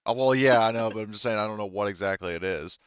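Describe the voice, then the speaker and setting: Crisp-voiced, foul-mouthed man running a tabletop game. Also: lowish voice, fast, no echo, muffled recording. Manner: profane and jokey. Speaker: game master. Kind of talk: casual tabletop roleplaying narration and rulings.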